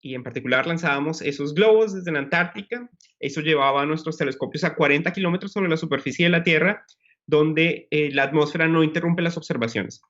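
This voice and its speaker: A man, a scientist guest, explaining science in a measured way during a live news chat. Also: fairly high pitch, a bit bright. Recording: muffled broadcast audio.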